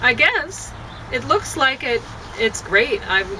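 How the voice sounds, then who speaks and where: A woman chatting casually and haltingly, thinking aloud as she goes and often breaking off to rephrase. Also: big pitch swings. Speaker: vlogger and amateur poet. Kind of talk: casual rambling chat to camera. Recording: noisy, distant mic, traffic-like rumble.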